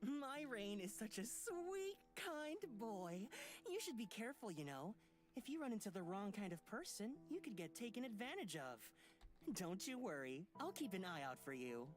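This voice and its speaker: Coy, pampered traveler acted by a woman, swooping pitch. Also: very animated, moderate pace, lightly acted. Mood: sweetly sly. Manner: coy, dramatic complaining. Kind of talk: visual novel character acting. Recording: music behind the voice.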